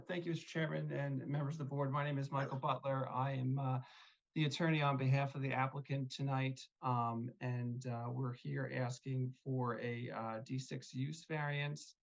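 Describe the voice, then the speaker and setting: A man's voice: medium pitch, vocal fry. A man speaking as an attorney at a zoning hearing over a video call, polite and hesitant with many fillers. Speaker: attorney for the applicant. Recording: dull lo-fi call audio.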